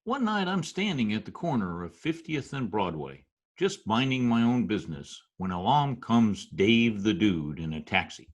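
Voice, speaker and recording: An actor playing a wry, streetwise New storyteller, narrating and talking in an old-time radio drama. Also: male voice, lowish voice, normal speed, few pauses. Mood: wry storytelling. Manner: wry, deadpan. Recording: slightly rough remote video-call audio.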